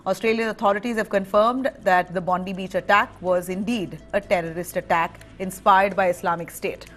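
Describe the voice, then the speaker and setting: A woman with an Indian accent reading a live news bulletin as anchor, in a clear, firm delivery. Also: medium pitch, fairly even intonation, moderate pace, fairly bright tone, soft background music.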